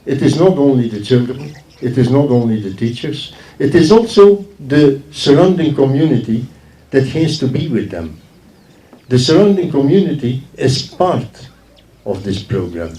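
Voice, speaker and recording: A man's voice: medium pitch, expressive, normal speed, warm tone. A man giving an informal public speech as a guest at a ceremony, emphatic and repeating phrases for effect. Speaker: guest speaker from a forestry organisation. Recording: echoey speech heard from far off.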